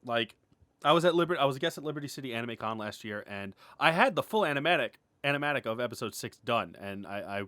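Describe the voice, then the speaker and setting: A man giving a casual, unscripted update as an animator, rambling and halting as he restarts his sentences, informal and candid. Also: medium pitch, lively intonation, bright, forceful tone, no echo. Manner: rambling, halting, self-interrupting, often chuckling and clearing throat; informal, mildly sweary, candid. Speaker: animator and web series creator.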